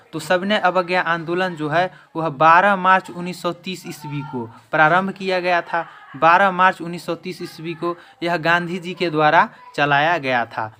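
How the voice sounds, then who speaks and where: A man's voice: fairly high pitch, sharp tone, slight background noise. A man teaching an exam-prep lesson in question-and-answer form, emphatically repeating each answer.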